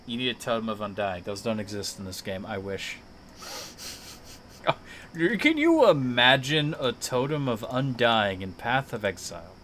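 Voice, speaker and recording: A man's voice: mid-range voice, sing-song, unhurried, fairly bright tone, a little hiss or hum. A man chatting casually and thinking aloud as he streams a video game, in a playful manner.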